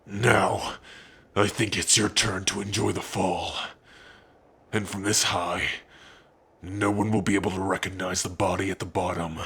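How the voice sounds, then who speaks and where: A man voicing a gruff, fierce but tender orc warrior in an ASMR roleplay, with a husky, gravelly voice. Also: deep voice, fairly bright tone, heavily acted. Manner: menacing to foes, tender with loved one.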